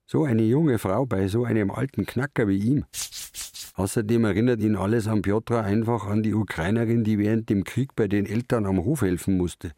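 Gruff old Bavarian fisherman played by a male actor for radio drama: low, dark, laconic, grumbling. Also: normal speed, no echo, studio quality, close to an everyday voice. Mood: musing, grumbling. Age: older. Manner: laconic, grumbling dialect speech. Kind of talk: radio drama, part narration in third person.

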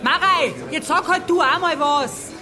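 An actress with a Bavarian accent playing a headstrong, indignant farm bride with traditional views in a radio play. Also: female voice, extremely high pitch, a little slow, over music, crowd noise. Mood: exasperated.

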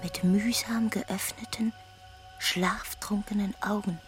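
A woman narrating a literary radio drama in terse, deliberate phrases. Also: medium pitch, fairly even intonation. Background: prominent music.

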